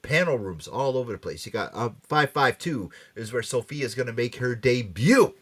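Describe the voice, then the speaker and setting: A man's voice: medium pitch, lively intonation, normal speed, fairly bright tone, husky. A man hosting a pop culture radio show, chatting casually and promoting events in a playful, teasing way.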